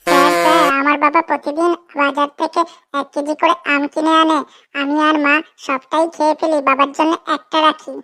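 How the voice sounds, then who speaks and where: A woman's voice: sits high, little pitch movement, a little fast, pushed and bright, soft background music. A woman voicing a cheeky young school pupil in a comedy cartoon, pert and matter-of-fact. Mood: eager.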